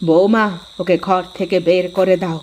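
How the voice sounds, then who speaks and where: A woman's voice: on the low side, steady tone, moderate pace, a little mellow, slight background noise, natural voice. Stern older mother-in-law acted by a woman. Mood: scolding. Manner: scolding, commanding. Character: elderly mother-in-law, stern with her son. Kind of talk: cartoon voice acting.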